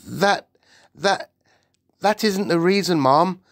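A woman's voice: fairly low pitch, unhurried, dry recording, studio quality, pitched above the performer's own voice, natural voice. A woman voicing a timid, deferential palace maid in an audiobook, stammering and quivering as she speaks. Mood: shaken, stammering.

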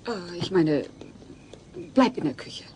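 A woman's voice: on the low side, expressive, takes it slowly, darkish tone. A woman playing a practical, firm, middle-aged mother in a comedy radio play, dry and reassuring.

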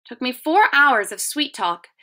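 An earnest, tired dad from a children's storybook, read out by a woman. The delivery is high and bright, with slow, emphatic phrasing. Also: big pitch swings, heavily acted. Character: tired, apologetic dad and town gardener in a storybook.

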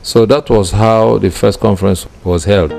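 A man's voice: fairly low pitch, fairly even intonation, moderate pace, vocal fry, music in the background. A Nigerian-accented man in his fifties, an apostle, recounting his story reflectively in an interview, close to the microphone indoors. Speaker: church founder, apostle. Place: small curtained room indoors. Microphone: handheld-style microphone close in front.

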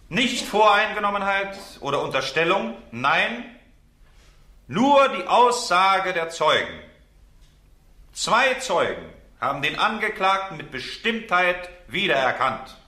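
An actor playing a grave, persuasive prosecutor in a radio play, with emphatic rhetorical questioning and a roomy echo. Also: male voice, a little high, pushed and bright, exaggerated voice. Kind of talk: German radio play acting.

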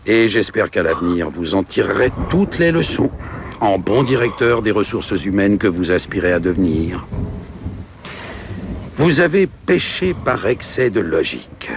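A low, wildly animated voice as an imperious, cynical management trainer in a radio play. He lectures pompously, over loud outdoor noise. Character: cynical, domineering male management trainer, relishing a bet. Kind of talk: French radio drama acting.

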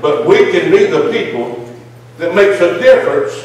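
A man's voice: high-pitched, animated, moderate pace, darkish tone, a little hiss or hum. A man in his sixties speaking at a church town hall. His talk builds into emphatic, preacherly declarations. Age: 60s. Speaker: audience member at a town hall. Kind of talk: impassioned public speech. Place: large church sanctuary. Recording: echoey church hall from far off.

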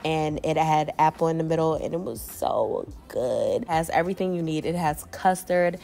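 A woman's voice: sits low, normal speed, vocal fry, dark tone, roomy sound, faint music behind. A woman vlogger with a stuffy nose, chatting casually to her viewers while out in a city. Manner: chatty, addresses viewers as y'all. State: congested. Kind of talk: casual vlog chat. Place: outdoors in a city.

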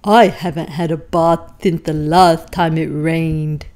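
A woman's voice: low-pitched, steady tone, slow pace, darkish tone, pitched below the performer's own voice. A woman voicing an eager, naive donkey for a children's picture book, drawn out and playful. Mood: curious. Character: eager, naive, scruffy donkey, cheerful friend.

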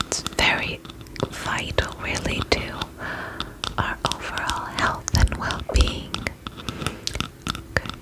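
A woman playing a soothing, attentive vampire spa beautician in an ASMR roleplay, whispering gently and reassuringly in a breathy voice. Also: poor audio. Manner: gentle, reassuring, slow and unhurried.

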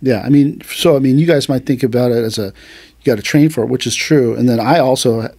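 A man's voice: mid-range voice, a little fast, a little mellow, slightly rough, no echo. A man who teaches aikido, hosting a casual podcast talk and telling stories loosely with many fillers. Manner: loose storytelling with many fillers, acts out quotes.

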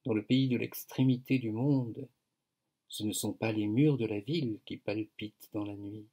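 An older man reading a poem aloud on a video call at a slow, measured pace. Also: fairly low pitch, breathy edge. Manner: slow, even, measured recitation. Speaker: author reading his poem. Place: home study with bookshelves, indoors. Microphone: webcam on a video call.

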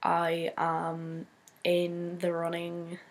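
Hoarse Irish woman with a cold. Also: lowish voice, completely flat delivery, very slow. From Donegal, Ireland. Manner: chatty, rambling, many fillers. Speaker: photographer vlogger. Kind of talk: casual chat to camera. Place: small indoor bedroom. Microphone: laptop webcam.

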